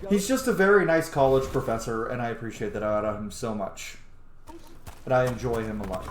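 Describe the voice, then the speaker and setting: A man's voice: mid-range voice, a little fast, reverberant. A man giving casual, chatty, enthusiastic gameplay commentary, with some offhand swearing. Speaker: host of a gameplay series.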